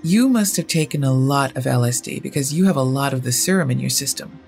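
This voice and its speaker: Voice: mid-range voice, lively intonation, fast, creaky, darkish tone. Narrator playing adult male inventor, grave, formal, determined, guarded, audio horror story, music bed.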